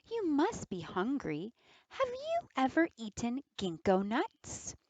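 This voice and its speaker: A woman's voice: high-pitched, wildly swooping pitch, takes it slowly, dry recording, pitched above the performer's own voice, exaggerated voice. A woman voicing a clever, wary eldest girl in a folktale, questioning and politely crafty. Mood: sweetly crafty.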